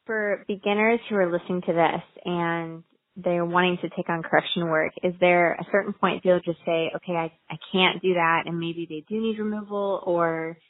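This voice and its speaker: A woman interviewer asks questions and keeps nudging her guest along with soft mm-hmms. Her voice sits a bit low. The sound is dry and thin, like a phone call. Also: no echo. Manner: encouraging, with frequent mm-hmms. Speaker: podcast interviewer. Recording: dry tinny phone-like line.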